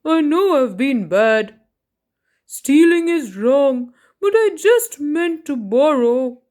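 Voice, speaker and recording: A woman's voice: high voice, animated, dark tone. A woman voicing a sheepish male book thief in a storybook, apologetic and earnest. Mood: remorseful, pleading. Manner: apologetic, earnest, drawn-out delivery.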